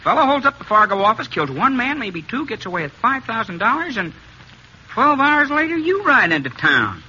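An actor playing a chatty, boastful, friendly blacksmith with folksy, eager cowboy speech in a 1950s radio western. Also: male voice, very high-pitched, big pitch swings, normal speed, sharp tone, exaggerated voice. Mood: gossipy. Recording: dull, noisy old radio recording.

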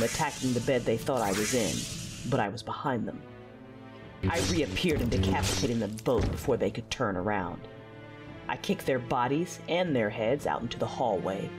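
A woman's voice: a bass voice, animated, normal speed, over music. A woman playing a hardened fallen Jedi warrior, narrating her own story with controlled intensity in an audio drama. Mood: brooding, intense. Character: battle-hardened, dark-tempered female fallen Jedi warrior.

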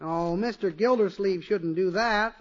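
A high-voiced, friendly little eggman. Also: unhurried. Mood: friendly, mild. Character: friendly, timid little egg delivery man. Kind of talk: 1950s radio sitcom acting. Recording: muffled old radio recording.

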